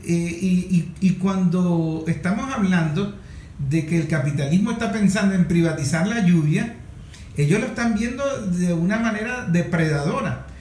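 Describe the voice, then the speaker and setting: High-voiced Venezuelan man, echoey room. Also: moderate pace, a little mellow. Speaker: host. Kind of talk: conversational discussion. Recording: echoey room, faint background noise.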